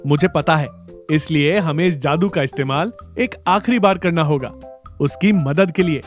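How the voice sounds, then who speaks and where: Humble middle-aged dhaba keeper for a cartoon tale, male actor, high-pitched, gentle and reassuring, over soft music. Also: normal speed, natural voice. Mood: resolute, kind. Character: kindly middle-aged husband, humble village food-stall keeper.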